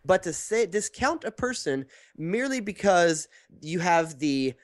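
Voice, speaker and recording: A man's voice: high voice, very animated, measured pace, dry recording. A young adult man co-hosting a podcast, mixing wry jokes with firm rebuttals, on a studio mic in a small room. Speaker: podcast co-host. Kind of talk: casual opinion chat on soccer. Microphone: studio mic on arm, headphones.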